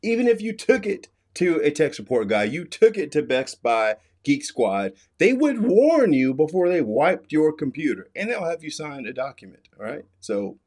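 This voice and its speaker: A man's voice: a little high, sing-song, normal speed, few pauses, a little mellow. A middle-aged American man giving an emphatic, instructive explainer commentary as an expert host. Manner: emphatic, instructive, repeats key warnings.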